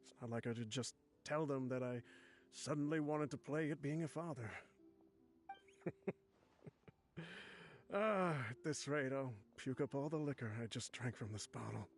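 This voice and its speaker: A man's voice: mid-range voice, measured pace, pauses often, lightly acted. Wry old desert mercenary leader voiced by a man. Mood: wry, fond. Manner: wry, chuckling, reminiscing. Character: roguish dying old desert mercenary leader, jovial, boastful. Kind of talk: anime game acting, letter read in voice-over.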